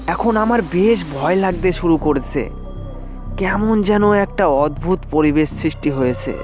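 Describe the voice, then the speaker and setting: Man, high-pitched voice, horror story narrator, scripted first-person delivery, music underneath.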